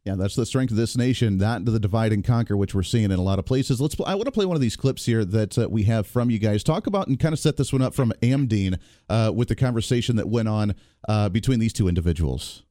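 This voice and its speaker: A man's voice: lowish voice, expressive, fast talker, mellow, no echo, clean studio sound. A man hosting a radio talk show, reacting with enthusiasm to his guest. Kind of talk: casual radio interview.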